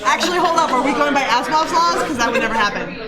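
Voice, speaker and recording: A woman's voice: sits high, expressive, fast. A woman playing a tabletop game, joking in casual table talk. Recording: very loud crowd in large hall. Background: loud convention crowd.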